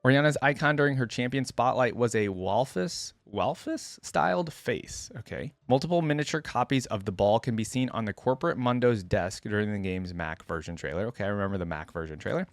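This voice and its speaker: A man's voice: mid-range voice, dry recording. A man hosting a reaction video, commenting casually and conversationally on game skins as he thinks aloud.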